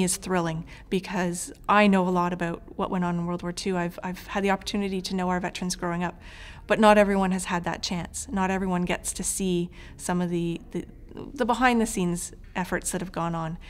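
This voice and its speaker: A woman's voice: fairly low pitch, flat delivery, brisk, clear, resonant tone. A woman giving earnest interview remarks as an organization's representative, repeating phrases for emphasis.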